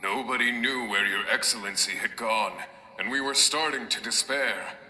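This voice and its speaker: A man's voice: mid-range voice, moderate pace, sharp tone, a little hiss or hum. A man playing a devoted, hopeful samurai fighter in a video game, earnest and declamatory. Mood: hopeful, reverent. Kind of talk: video game dialogue acting. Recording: roomy echo, game music behind.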